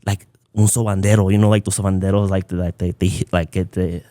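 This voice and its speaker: Man, Spanish speaker, relaxed dark tone, dry close sound, casual podcast storytelling. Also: deep voice, quick pace, no echo. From Southern California, United States. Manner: informal, peppered with "like" and Spanish phrases. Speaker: podcast guest, content creator.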